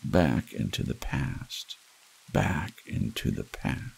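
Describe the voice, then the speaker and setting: A man narrating noir fiction as an ASMR audiobook, deliberate and dramatic, with a gravelly, husky voice. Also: extremely low pitch, big pitch swings. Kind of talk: scripted second-person noir fiction narration, read as ASMR. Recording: rain sounds behind the voice. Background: rain and wind ambience.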